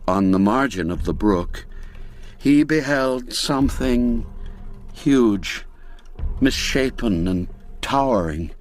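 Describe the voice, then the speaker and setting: A man narrating a classic ghost story as a dramatic reading, measured and full of deliberate pauses. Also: mid-range voice, animated, faint music behind.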